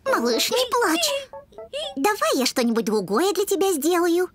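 Very high, bossy cartoon girl. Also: sing-song, a little slow, music in the background, exaggerated voice. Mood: coaxing. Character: bossy lively older-sister cartoon girl, quick to tire. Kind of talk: animated cartoon acting.

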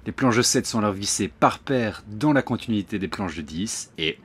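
A man narrating a do-it-yourself building tutorial in a relaxed, friendly voice-over, slipping slang into his step-by-step instructions. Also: fairly low pitch, moderate pace, husky. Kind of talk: casual DIY tutorial voice-over. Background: faint music.

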